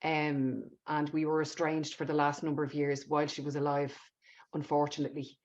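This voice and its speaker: A woman's voice: sits low, flat delivery, fairly quick. Woman hosting on a dull lo-fi line. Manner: warm, emphatic, repeats words for stress. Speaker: podcast host. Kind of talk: casual conversational interview. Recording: dull lo-fi audio.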